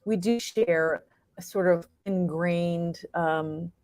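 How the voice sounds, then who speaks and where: Woman, lowish voice, dry close sound, reflective interview talk, earnest, halting as she searches for words. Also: a little mellow, no room sound. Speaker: playwright and performer being interviewed.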